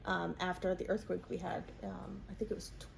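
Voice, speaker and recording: A woman's voice: fairly low pitch, level tone, a little fast, gravelly, a little hiss or hum. A woman hosting a conversational interview, asking a guest practical questions. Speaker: interviewer, real estate professional.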